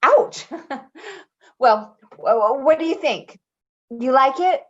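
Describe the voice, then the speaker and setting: Casting: actress for brash, talkative female caregiver, highly varied intonation, naturalistic play-reading delivery, prickly and defensive; muffled lo-fi recording. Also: lively intonation. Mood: eager, defensive. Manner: rambling, halting, with nervous laughter. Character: brash, chatty, defensive woman caregiver named Patti. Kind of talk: naturalistic readers theater acting.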